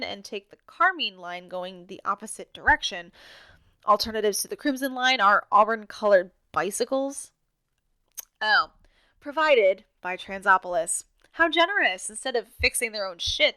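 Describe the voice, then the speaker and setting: Woman acting as reluctant radio co-host, sharp bright voice, medium female pitch, blunt, sarcastic announcing, audio drama. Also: clean tone. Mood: sardonic, irritated. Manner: sardonic, blunt, grudging. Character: Angie, sardonic reluctant small-town radio co-host, woman.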